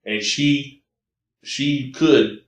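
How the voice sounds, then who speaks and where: A man's voice: medium pitch, drawn-out words. An American man hosting a casual interview, affirming and restating what he is told in a conversational way. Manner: frequent affirmations, restates what guest says. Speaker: podcast host interviewing a guest. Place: indoor room, in-person conversation. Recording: roomy, echoey indoor recording.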